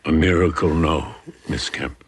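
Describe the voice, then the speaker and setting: A slow, grave, haunted father. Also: a bass voice, slightly rough, heavily acted. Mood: grim, weary. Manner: slow, grave, emotionally intense. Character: weary, bitter, protective father of a gifted boy. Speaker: actor in a TV drama. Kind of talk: dramatic television acting.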